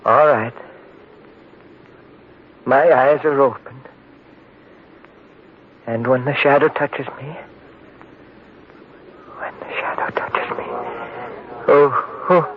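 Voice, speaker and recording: An actor playing a guilt-ridden, haunted man in a 1940s radio drama, speaking in halting, repeated broken phrases on a dull, noisy old recording. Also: mid-range voice, fairly even intonation, close to an everyday voice. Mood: mounting panic. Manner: halting, repetitive, broken phrases, muttering to himself. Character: haunted, guilt-ridden man, a wife-murderer trapped in a moonlit canyon.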